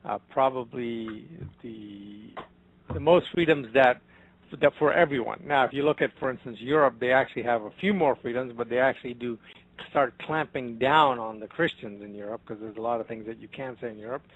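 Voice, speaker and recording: A man who grew up in Africa, the Middle East and India, speaking as a guest author on a radio show, animated, with loudness rising and falling and many fillers. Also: medium pitch, a little fast. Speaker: author and ministry founder, guest. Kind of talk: guest interview. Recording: dull lo-fi broadcast audio.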